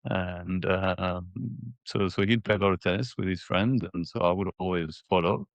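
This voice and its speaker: A man in his forties from Ethiopia and Mauritius reminiscing in a casual interview, haltingly, repeating words as he thinks. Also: low-pitched, fairly even intonation, no echo, highs cut off. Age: 40s. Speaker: interview guest, former professional tennis player.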